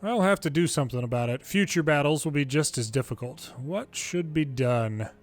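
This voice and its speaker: A man reading a game script aloud in an expressive, deliberate and dramatic way. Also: on the high side, faint music behind. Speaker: longplay video maker reading game dialogue.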